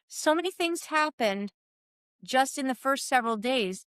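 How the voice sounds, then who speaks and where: A woman in her sixties from California, a former cycling soigneur telling animated stories in an interview and acting out the dialogue. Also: high-pitched, measured pace, clear, resonant tone, dry recording, studio quality. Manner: lively, acts out quoted dialogue.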